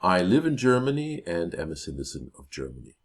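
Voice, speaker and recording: A man in his fifties with a deep voice and clear pronunciation, introducing himself as a voiceover artist in a measured way with occasional hesitations. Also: big pitch swings. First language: English. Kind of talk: self-introduction demo reel.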